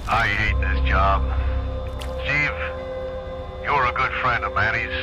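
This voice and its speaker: An actor playing a weary, sombre law enforcement chief in a radio drama, terse and grave. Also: male voice, fairly low pitch, takes it slowly, muffled recording. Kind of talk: old-time radio drama acting. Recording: old radio recording with loud music.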